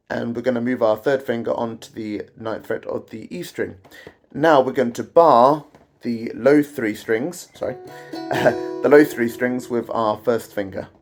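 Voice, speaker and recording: Croaky-voiced man teaching guitar. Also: mid-range voice, measured pace. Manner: chatty, self-deprecating, wry asides. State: croaky voice from a cold. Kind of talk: casual guitar tutorial. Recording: guitar playing behind the voice.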